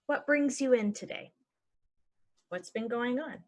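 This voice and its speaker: A woman teaching English phrases, saying each one and then repeating it slowly and clearly for learners. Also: fairly high pitch, animated. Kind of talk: slow phrase-by-phrase language lesson.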